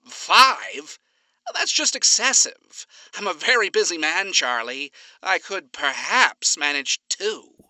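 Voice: medium pitch, very animated, a little slow, sharp tone, no echo, full character voice. Narrator doing smug fancy radio demon guy, super polite and formal, bouncy delivery. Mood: haggling. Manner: formal, genteel phrasing. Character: smug, courtly male radio demon, gentlemanly.

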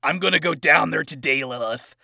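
A man voicing a furious, vengeful male fallen angel who shouts threats in a raised voice. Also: completely flat delivery, slow pace, gravelly, breathy edge, no room sound, studio quality, full character voice. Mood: menacing rage. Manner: loud, threatening, raised pitch. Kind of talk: reading fan fiction aloud.

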